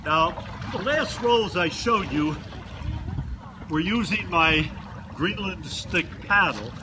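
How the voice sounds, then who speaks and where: A man in his seventies calmly walks through each kayak roll, unhurried and a little playful. His voice sits slightly high for a man. Wind and lapping water are clearly heard behind him, and the sound comes from a camera some distance away on the water. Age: 70s. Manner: slow, explanatory, gently humorous. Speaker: kayak instructor demonstrating rolls. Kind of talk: casual demonstration narration. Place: outdoors in a kayak on open water.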